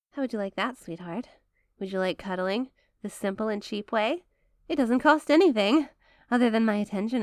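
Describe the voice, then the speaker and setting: A woman's voice: medium pitch, no room sound, studio quality, natural voice. A woman playing a rich, possessive, doting girlfriend in an intimate ASMR roleplay, sweet-talking one listener in a coaxing way. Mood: eager, coaxing.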